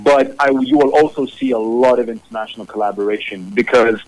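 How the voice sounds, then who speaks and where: Measured man talking from his studio. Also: mid-range voice, darkish tone, dry recording, low-quality recording. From Zambia. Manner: measured, repeats words while thinking. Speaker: musician guest. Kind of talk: remote interview, casual. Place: his own music studio.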